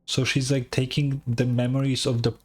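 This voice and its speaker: Female streamer, strikingly low pitch, offhand live commentary, understated, music in the background. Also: little pitch movement, normal speed, a little mellow, husky. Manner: low-key, brief interjections. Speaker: streamer reacting to a game. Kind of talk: casual live reaction commentary. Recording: game music behind.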